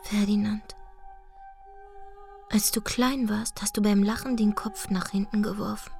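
A woman playing a brooding, bitter adult daughter in a radio drama, often hushed and whispering. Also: mid-range voice, fairly even intonation, a little slow, gravelly, faint music behind. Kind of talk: radio drama, intimate monologue and dialogue.

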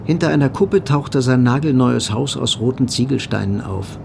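A man's voice: medium pitch, moderate pace, vocal fry, background noise. A man delivering scripted literary narration for a radio drama.

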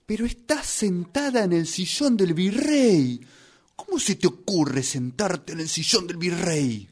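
Un hombre con acento rioplatense interpreta en un radioteatro aficionado a un guía de museo serio, regañón y didáctico, de habla enfática. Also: male voice, sits high, animated, a little slow. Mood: scolding. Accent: Rioplatense Argentine. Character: earnest, scolding, didactic museum guide. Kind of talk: amateur radio play rehearsal.

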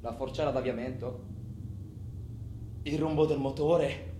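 A man's voice: medium pitch, fairly even intonation, unhurried, close to an everyday voice. Agitated actor playing male robber, echoey room. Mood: frantic, angry. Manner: colloquial, profane, intense, swinging from hushed to shouting. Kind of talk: theatrical radio monologue. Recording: roomy echo, faint background noise.

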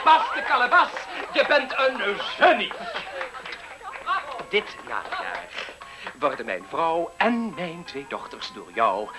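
A man's voice: fairly high pitch, wildly swooping pitch, slow, loud music behind the voice, a loud crowd, heavily acted, low-quality recording. An actor playing an eminent, genial male fashion critic in a 1970s radio play, patronizing and effusive. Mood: delighted.

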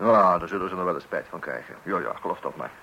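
A man's voice: low voice, fast, creaky, bright, forceful tone, breathy edge, heavily acted. An actor playing an exasperated father of small children in a Dutch radio play, hesitant and blustering, with wry asides. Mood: resigned. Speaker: narrator addressing the listener. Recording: hissy old radio recording.

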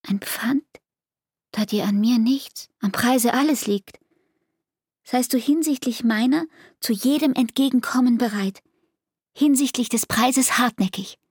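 An actress playing a hurt young barmaid in a radio play, speaking intimately and deliberately in a breathy, hushed voice. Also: female voice, on the high side, dry recording, clean studio sound. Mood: bitter reproach. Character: Frieda, hurt young barmaid reproaching her lover. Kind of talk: radio play acting, literary monologue.

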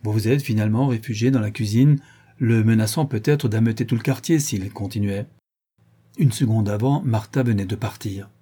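Stern middle-aged woman interrogator, read by a man, husky and mellow, coldly accusing. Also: lowish voice, moderate pace. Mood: probing. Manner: cold, probing, relentlessly accusing.

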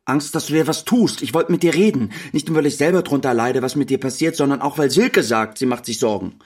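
A man's voice: mid-range voice, a little fast, slightly rough. Earnest, worried man, hesitant. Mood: worried, pleading. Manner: hesitant, searching, pleading. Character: worried, earnest male writer and close friend. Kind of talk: German radio play dialogue.

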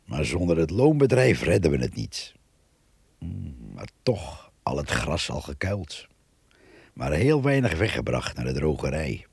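An actor playing a musing farm worker narrating in a radio play, reflective, unhurried and colloquial. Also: male voice, extremely low pitch, vocal fry, slightly rough, no echo, full character voice.

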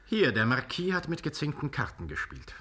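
An actor playing an imperious king in a radio play, ironically polite and deliberate. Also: medium pitch, wildly swooping pitch, bright, forceful tone, slight background noise. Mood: regal command. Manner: regal, mock-courteous, deliberate. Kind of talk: German radio play acting.

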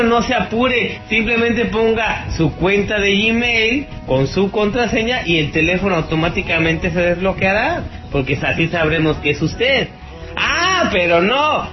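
Radio host, male, Mexican accent, notably high voice, teasing and slangy, on a dull lo-fi stream with music clearly behind. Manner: colloquial, mocking, teasing listeners, chuckling. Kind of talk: informal tech-advice monologue.

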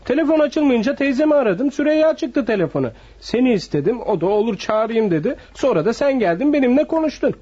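An actor playing an excitable, scheming young grandson in a radio play, muffled, with a noisy crowd behind him. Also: male voice, very high voice, fast talker, few pauses, slightly rough, full character voice. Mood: indignant, realizing. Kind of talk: radio theatre acting.

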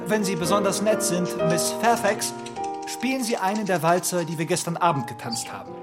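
An actor playing a charming, playful young gentleman in a radio drama, sly and teasing. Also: high voice, brisk, natural voice. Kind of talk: German radio drama acting. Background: music.

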